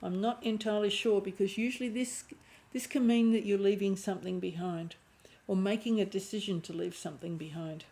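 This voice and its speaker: A woman with a croaky voice and a lingering cough giving an intuitive tarot reading, thinking aloud and often restarting her phrases. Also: medium pitch, steady tone, brisk, few pauses, warm tone. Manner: musing aloud, restarting phrases, clearing throat. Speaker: tarot reader.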